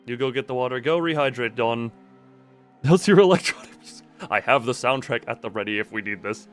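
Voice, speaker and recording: A man's voice: medium pitch, animated, brisk, faint music behind. A man live-streaming a game, chatting casually to viewers, muttering asides and reading dialogue aloud.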